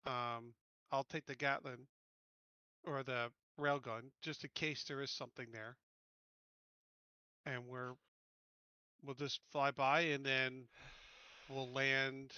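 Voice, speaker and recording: A man's voice: mid-range voice, little pitch movement, slow pace, long pauses, pushed and bright, clean tone, no room sound. A man playing a tabletop roleplaying game, casually talking through tactics, recorded slightly dull on a close mic. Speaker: tabletop RPG player.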